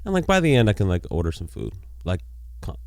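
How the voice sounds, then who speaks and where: A man's voice: on the low side, wildly swooping pitch, fast, creaky, no echo. A young man born in Spain and raised in Seattle, a guest on a casual podcast, joking dryly and saying "like" a lot. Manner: joking, dry, says "like" often. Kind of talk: casual interview chat.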